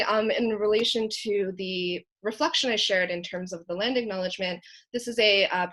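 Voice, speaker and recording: A young woman from Canada, a workshop facilitator whose first language was Korean, talking in a reflective way with frequent ums and pauses. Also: medium pitch, a bit bright.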